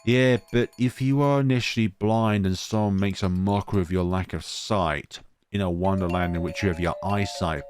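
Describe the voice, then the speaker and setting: A man hosting a gaming video, reading a visual novel aloud and adding his own asides. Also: low voice. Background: music.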